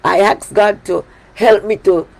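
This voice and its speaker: Woman, Jamaican accent, pastor's sermon, slow, gravelly, emphatic repetition. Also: medium pitch, fairly even intonation, a little mellow. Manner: emphatic preaching, repeats key phrases. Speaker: pastor leading a prayer broadcast.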